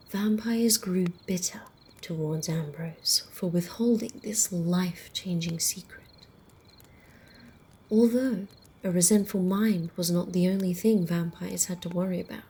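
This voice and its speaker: A woman playing a wry female vampire, telling a tale in a soft, breathy half-whisper with measured, deliberate pauses, in ASMR roleplay style. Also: on the low side, a little hiss or hum. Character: female vampire storyteller, wry, protective, faintly condescending to humans. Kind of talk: ASMR roleplay, slow hushed campfire storytelling. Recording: faint outdoor night ambience with crickets. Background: crickets and night insect ambience.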